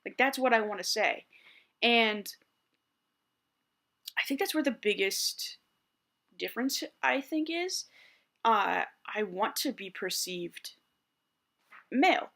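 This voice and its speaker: Candid higher-voiced man thinking aloud. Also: expressive, slow, gravelly, long pauses, pushed and bright. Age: 30s. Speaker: vlogger. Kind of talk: reflective personal monologue.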